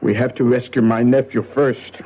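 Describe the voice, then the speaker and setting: An actress playing a stern, vengeful older sorceress in a radio drama, with a solemn, incantatory, theatrical delivery. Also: female voice, rumbling low, flat delivery, normal speed, a little hiss or hum. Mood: firm, commanding. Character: stern, vengeful older woman sorceress, a countess and devoted aunt. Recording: dull lo-fi old radio broadcast.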